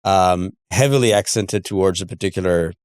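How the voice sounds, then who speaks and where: A middle-aged man hosting a casual interview, haltingly searching for words and repeating himself. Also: low voice, darkish tone, clear, resonant tone. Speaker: interview host.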